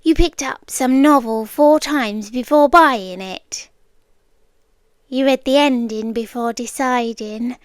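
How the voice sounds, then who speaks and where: A woman's voice: on the high side, slow, no echo. A British woman voicing an obsessive, sweetly menacing girlfriend in an intimate ASMR roleplay, coy and cooing with whispery dips. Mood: coy, teasing.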